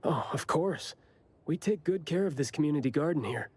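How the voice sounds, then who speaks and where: A friendly, eager community gardener in a video game. His voice is a bit higher than most men's. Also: expressive, moderate pace, darkish tone, husky. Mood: friendly, helpful. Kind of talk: video game dialogue acting.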